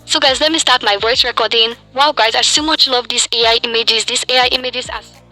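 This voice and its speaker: A woman's voice: on the high side, fairly quick, bright, forceful tone, faint music behind, poor audio. A woman's voice through a voice-changer effect, recording a gushing, admiring demo voiceover.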